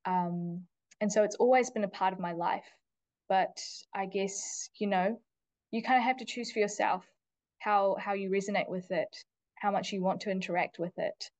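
A woman who is a teacher, a guest on a podcast, speaking casually and thoughtfully with many pauses and fillers. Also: mid-range voice, darkish tone, no echo. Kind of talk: casual interview chat.

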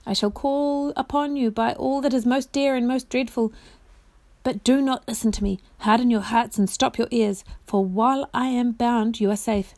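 Anguished male knight under enchantment, played by a woman, courtly, imploring, slow heavy words, raised pitch, storybook drama, close dry recording. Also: fairly even intonation, darkish tone, dry recording, lightly acted. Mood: urgent, dreading. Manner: courtly archaic phrasing, groaning and imploring, drawn-out words. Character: anguished bewitched knight, a desperate young man pleading in bonds.

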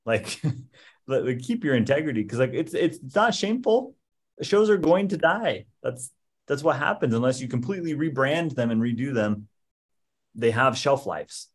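A man's voice: mid-range voice, animated, normal speed. Playful man co-hosting a chatty podcast. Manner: playful, joking. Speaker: podcast co-host. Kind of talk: casual chat.

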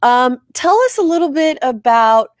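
A woman hosting a casual interview, upbeat and quick to chime in with short affirmations. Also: high voice, expressive, dry recording, clean studio sound. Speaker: host.

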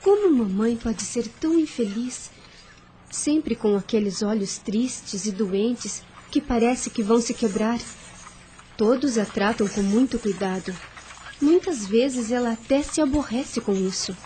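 An actress playing a meek, anxious young farmer's daughter in a radio drama, speaking formally and earnestly. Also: female voice, a little high, unhurried, warm tone, slight background noise, close to an everyday voice, rough recording. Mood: pensive.

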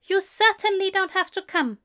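A woman puts on a prim, fussy gentleman whose voice rises high and lilting. He speaks with a polite, precise, faintly indignant primness and light, lively phrasing. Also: moderate pace, full character voice. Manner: prim, lilting, higher and brighter.

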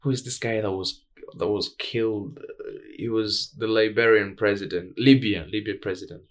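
A man with a fairly deep voice and a Tanzanian accent. He hosts a podcast as a casual chat, asking his questions in informal, slangy English. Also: fairly even intonation, moderate pace. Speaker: podcast host.

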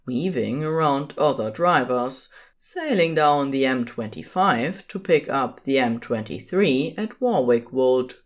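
A woman narrating scripted fiction as the storyteller of an audio drama. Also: sits low.

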